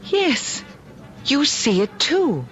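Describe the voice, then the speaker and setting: An actress playing an anxious, wistful widow in an old-time radio drama, with a hesitant, emotive delivery. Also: female voice, high voice, big pitch swings, drawn-out words, a bit bright, full character voice. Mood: agitated. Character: anxious grieving widow, wistful and stubbornly convinced. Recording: muffled vintage radio recording, music.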